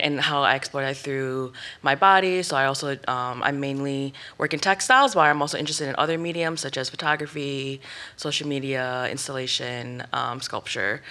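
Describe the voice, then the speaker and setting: Bright-voiced woman panelist, halting. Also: extremely low pitch. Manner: rambling, many ums and restarts. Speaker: panelist artist. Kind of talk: panel talk. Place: stage at an indoor arts venue. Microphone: table microphone.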